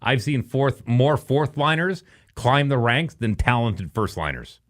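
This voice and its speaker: A man's voice: medium pitch, animated, unhurried, fairly bright tone. A middle-aged man, co-hosting a casual call-in podcast in a blunt, dry, matter-of-fact way. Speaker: podcast co-host and radio announcer. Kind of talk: casual advice and banter on a call-in show.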